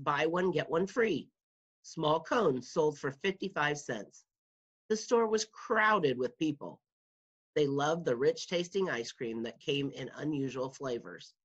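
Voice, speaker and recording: Husky-voiced woman reading aloud. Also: sits low. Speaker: school media specialist reading aloud. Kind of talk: read-aloud of a children's nonfiction book. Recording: dull, muffled lo-fi home recording.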